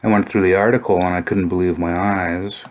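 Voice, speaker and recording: Low-voiced man musing over old photos. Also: fairly even intonation, brisk, low-quality recording. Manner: musing, thinking aloud. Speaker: video narrator. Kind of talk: informal explanatory commentary over old photos.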